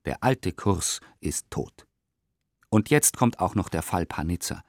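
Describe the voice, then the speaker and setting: An actor in a radio play reciting an 1890s newspaper polemic as an impassioned, indignant reader with emphatic rhetorical delivery. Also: male voice, deep voice, animated, measured pace, darkish tone, no room sound, clean studio sound, exaggerated voice. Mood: impassioned outrage. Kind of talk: dramatic radio play recitation of historical press text.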